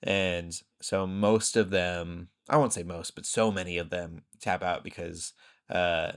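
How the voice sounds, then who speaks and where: A man hosting a podcast, telling rambling, animated stories in casual conversation. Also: low-pitched, measured pace, clear, resonant tone. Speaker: podcast host.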